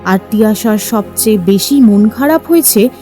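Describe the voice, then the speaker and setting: A woman's voice: mid-range voice, expressive, normal speed, mellow, soft background music. A woman reading scripted narration for an audio story.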